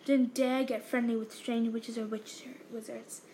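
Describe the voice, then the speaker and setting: A woman voicing a huge, gruff but kindly male half-giant gamekeeper in a read-aloud novel, with folksy, clipped dialect speech. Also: fairly high pitch, flat delivery, measured pace, dark tone, a little hiss or hum. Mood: hushed.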